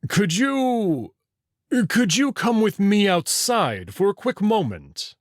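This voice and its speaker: A man plays a massive alien wolf from a visual novel. He is expressive and easily exasperated, coaxing in halting phrases. His delivery is unhurried, with big swings of pitch. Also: no echo, studio quality, full character voice. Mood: coaxing, awkward.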